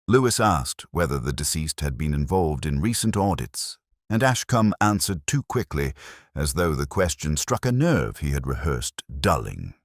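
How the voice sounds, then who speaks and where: A man reading scripted literary detective fiction in the first person, in a measured, formal, brooding manner. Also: rumbling low, very animated, no echo, studio quality. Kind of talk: scripted literary mystery narration.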